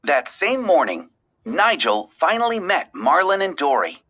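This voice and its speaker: A woman reads a children's story aloud with expressive, dramatic phrasing. Her voice is bright and very animated, yet she takes her time over each phrase. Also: on the low side, unhurried. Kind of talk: expressive read-aloud narration.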